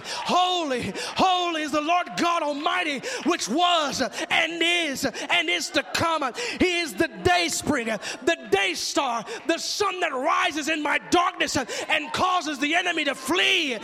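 Man, preacher, fervent declamatory sermon, forceful piercing tone, gravelly rasp, rhythmic repetition, long hall reverb. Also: extremely high pitch. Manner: fervent, emotional shouting. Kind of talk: impassioned sermon listing the names of Christ.

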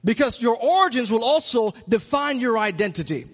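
A man's voice: very high-pitched, expressive, fairly quick. A man from Michigan preaching in an earnest, reflective way that builds to emphatic points. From Michigan, USA. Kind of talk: sermon-style monologue. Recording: long hall reverb.

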